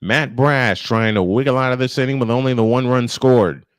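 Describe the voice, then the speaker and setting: A man's voice: medium pitch, normal speed, clean tone, no room sound. Male baseball play-by-play announcer, dry close sound. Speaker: play-by-play commentator on a live stream. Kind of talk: live baseball play-by-play commentary.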